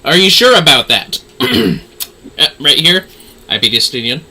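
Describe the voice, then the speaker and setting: Very bright-voiced man livestreaming a game. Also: on the high side, sing-song, unhurried, breathy edge. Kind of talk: gaming livestream chat and reading game dialogue aloud.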